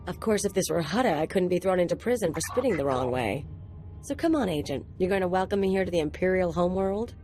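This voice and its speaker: A woman's voice: fairly low pitch, lively intonation, quick pace, mellow, faint music behind, close to an everyday voice. An actress playing a cocky, sardonic young female sidekick in a video game, wry and casual. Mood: wry, teasing. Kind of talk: scripted game cutscene dialogue.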